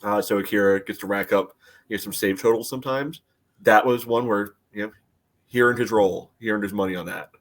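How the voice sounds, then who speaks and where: A man with a fairly low voice speaks as a sports podcast panelist. His delivery is casual and halting, full of small filler phrases as he works toward each point. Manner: hesitant, filler-heavy phrasing with frequent "you know".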